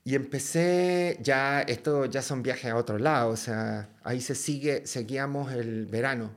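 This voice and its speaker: A man from Chile with a Chilean accent, a podcast guest telling a story in a casual, lively way, full of slang and swearing. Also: medium pitch, unhurried.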